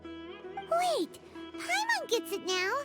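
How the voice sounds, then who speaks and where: A woman voicing a tiny, excitable fairy companion in a video game, squeaky and childlike, with emphatic exclamations. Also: drawn-out words, music in the background, exaggerated voice. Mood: excited. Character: tiny excitable girlish fairy companion, speaks in third person. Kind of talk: video game character acting.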